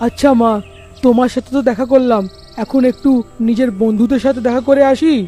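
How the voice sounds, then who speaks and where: A woman's voice: a little high, steady tone, a little fast, warm tone, close to an everyday voice. A woman voicing a curious, sceptical young man in a cartoon, speaking in a naive, exclamatory way. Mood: cheerful. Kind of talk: cartoon dubbing.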